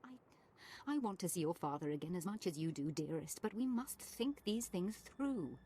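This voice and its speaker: A woman's voice: lowish voice, animated, moderate pace, dark tone, slight background noise, natural voice. A woman playing a proud, protective middle-aged noblewoman in a video game, speaking in a formal, dramatic and disdainful manner. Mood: worried, hesitant. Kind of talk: video game cutscene acting.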